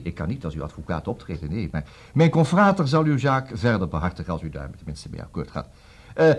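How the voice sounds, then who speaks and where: Busy Dutch lawyer, swooping intonation. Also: male voice, medium pitch, a little mellow, husky. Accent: Netherlandic Dutch. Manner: hesitant fillers, repeats words, juggles several conversations. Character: busy, brisk, distracted male divorce lawyer. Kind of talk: radio comedy acting.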